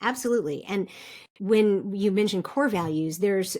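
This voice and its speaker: A middle-aged woman, a podcast guest, explaining ideas with examples in a lively way and laughing often. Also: mid-range voice, normal speed. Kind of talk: conversational interview.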